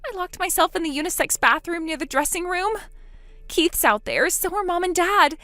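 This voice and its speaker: A woman's voice: extremely high pitch, animated, normal speed, bright, forceful tone, dry recording, pitched above the performer's own voice, heavily acted. A woman voicing a frightened young woman trapped before a forced wedding, pleading and panicky. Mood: panicked.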